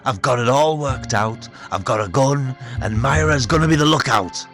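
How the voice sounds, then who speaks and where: A man's voice: medium pitch, normal speed, a bit bright, faint music behind, pitched above the performer's own voice, lightly acted. A man putting on a crude, swaggering bully rabbit. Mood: boastful. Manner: boastful, swaggering, sudden growls and swearing. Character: crude menacing male rabbit bully, boastful and aggressive.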